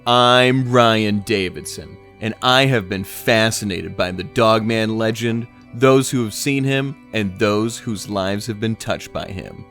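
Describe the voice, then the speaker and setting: A man hosting a mock-documentary comedy interview podcast in an earnest, measured and halting way. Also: fairly low pitch, a bit bright, faint music behind. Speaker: podcast host.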